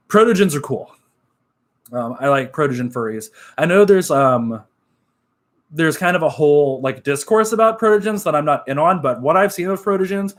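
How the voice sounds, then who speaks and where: A young man with a nasal voice, chatting casually on a live stream, upbeat and relaxed, full of fillers. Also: mid-range voice. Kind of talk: casual live-stream chat reading comments.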